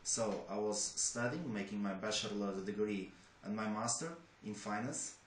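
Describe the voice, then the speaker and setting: Young Portuguese-accented man, low-ish voice, hesitant interview answers, roomy echo. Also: moderate pace. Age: young adult. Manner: hesitant, many restarts and fillers. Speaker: job applicant. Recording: echoey room with faint noise.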